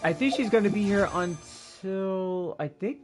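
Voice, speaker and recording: Higher-voiced man rambling on a livestream. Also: soft background music. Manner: rambling, halting, repeats himself. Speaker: game livestreamer. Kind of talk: casual livestream chat.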